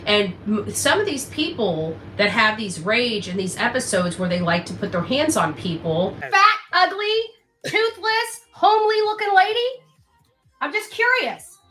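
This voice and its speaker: A woman's voice: on the high side, animated, measured pace, sharp tone, faint music behind. A woman speaking off the cuff as an online commentator, in an emphatic, repetitive, confrontational manner. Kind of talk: unscripted rant and advice to camera. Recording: roomy echo with faint noise.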